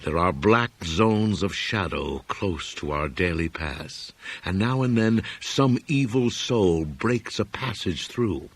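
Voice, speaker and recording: A man narrating a scripted horror story as an audiobook reader, in a measured, solemn and deliberate manner. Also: low-pitched, expressive, breathy edge, no room sound.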